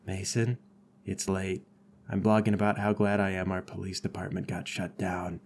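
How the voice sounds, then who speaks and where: A curmudgeonly, contrary cop played by a man in a comedy tabletop game. The voice is very low, with deadpan complaining. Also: normal speed. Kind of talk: improvised comedic roleplay.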